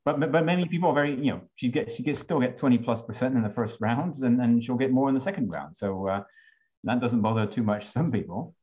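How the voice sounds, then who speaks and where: A man's voice: medium pitch, fast talker, darkish tone, muffled recording. A French-born man on a discussion panel, talking with many restarts and fillers. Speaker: panel guest.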